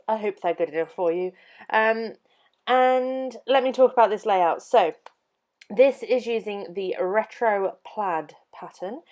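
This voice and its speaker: A woman's voice: medium pitch, a little mellow. Chatty English woman crafter. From England. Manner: chatty, lots of ums, laughs easily. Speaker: papercraft YouTuber. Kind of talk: casual voiceover tutorial.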